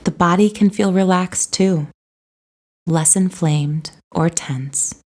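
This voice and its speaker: A woman narrating a scripted guided meditation in a soothing, gentle, unhurried way. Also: on the low side.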